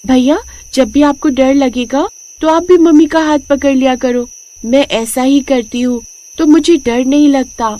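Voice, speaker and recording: A woman's voice: high-pitched, fairly even intonation, a little slow, mellow. A woman voicing an innocent, chatty little girl in a cartoon story, with a childlike manner. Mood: innocent, caring. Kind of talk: cartoon moral story acting.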